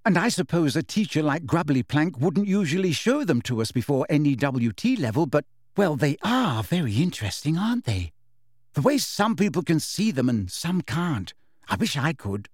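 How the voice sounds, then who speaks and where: A man plays a clever teenage schoolgirl in an audiobook. Her voice is raised in pitch, earnest and emphatic, as one quick to argue. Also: sing-song, a little mellow, dry recording, studio quality. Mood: impatient.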